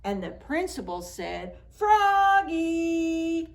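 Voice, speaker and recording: A woman doing an expressive, playful picture-book read-aloud for preschool children. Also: mid-range voice, a little slow. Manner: playful, acts out sound words. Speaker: children's storytime reader.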